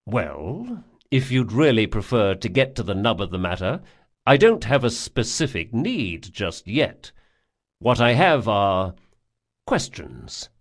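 A man playing a polite, formal and guarded gentleman client in a fantasy audiobook. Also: lowish voice, very animated, slow, exaggerated voice. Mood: careful, sly. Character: courteous, smooth-talking male client, formal and guarded.

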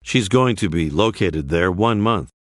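A man narrating a language course, calmly prompting the learner with phrases to say. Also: low voice, no room sound, studio quality. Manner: slow, clear, prompting the learner. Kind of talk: scripted instructional narration.

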